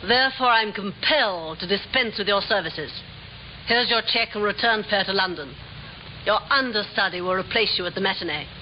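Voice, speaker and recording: Imperious leading lady of the stage, played by a woman, theatrical and cool, old muffled radio drama with clear hiss. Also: medium pitch, moderate pace, close to an everyday voice. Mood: cold, imperious. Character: grand, commanding stage actress-manager, coolly accusing. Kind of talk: 1950s radio drama acting. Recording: noisy, muffled 1950s radio recording.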